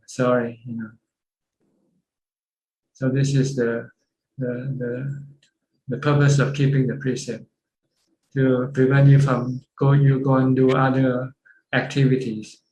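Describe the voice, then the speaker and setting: A man's voice: mid-range voice, level tone, unhurried, pauses often, darkish tone. A man, a Buddhist monk with a Thai accent, patiently explaining Buddhist practice over a video call, often repeating words as he goes. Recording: echoey video call audio.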